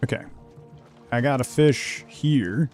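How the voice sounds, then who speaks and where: A man's voice: mid-range voice, slow pace, a little mellow, soft background music. A man doing casual solo commentary on a gaming stream, muttering to himself with playful asides. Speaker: game streamer.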